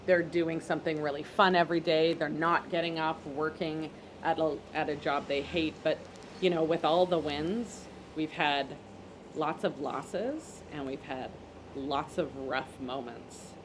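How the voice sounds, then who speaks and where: A woman's voice: low voice, steady tone, unhurried, background noise. A woman interviewing a guest in an engaged, affirming way. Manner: engaged, affirming, uses metaphors. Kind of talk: interview conversation.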